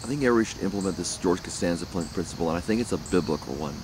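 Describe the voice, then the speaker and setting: A man rambling casually outdoors about faith, speaking haltingly with many restarts. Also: sits low, expressive, background noise. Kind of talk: casual spiritual musing. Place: outdoors near trees.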